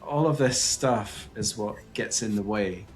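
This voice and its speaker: A man's voice: on the low side, a little slow, faint music behind. A man with a Scottish accent, a psychic medium guest speaking earnestly and reflectively on a video call. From Scotland. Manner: earnest, reflective, searching for words. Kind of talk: conversational interview over video call.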